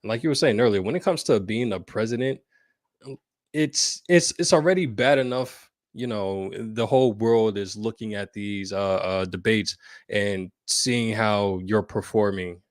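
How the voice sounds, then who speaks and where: A man giving opinions as a guest in a casual chat, halting and slangy as he thinks aloud. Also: on the low side, dry recording, studio quality. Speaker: guest caller.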